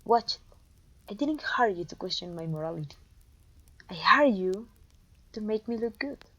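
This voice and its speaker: A woman's voice: medium pitch, lively intonation, moderate pace, pushed and bright, husky, highs cut off. A woman playing a haughty, domineering evil queen and sorceress in a scripted roleplay audio, imperious, scornful and theatrical. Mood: impatient, arrogant. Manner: imperious, scornful, theatrical, with sighs and disgusted groans.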